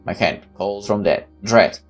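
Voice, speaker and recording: A man's voice: on the low side, measured pace, faint music behind. A man hosting a let's play, reading game text aloud expressively and adding casual reactions.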